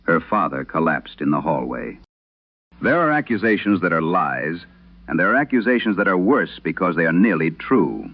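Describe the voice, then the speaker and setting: A man playing an aging, haunted priest, telling a solemn horror radio monologue with measured, brooding, confessional delivery. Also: a bass voice, narrow, tinny audio, heavily acted. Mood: grim, dread-filled.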